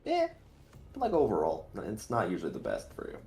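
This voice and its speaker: A man chatting over voice chat on a stream, easygoing and self-mocking. His voice is deep but very animated in pitch. Faint music runs underneath. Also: quick pace. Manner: laid-back, joking, self-deprecating. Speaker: guest on stream, calculus tutor. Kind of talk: casual voice chat.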